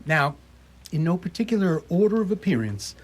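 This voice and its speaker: Animated, husky male radio announcer. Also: high voice, moderate pace. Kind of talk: scripted radio announcing, theatrical recap.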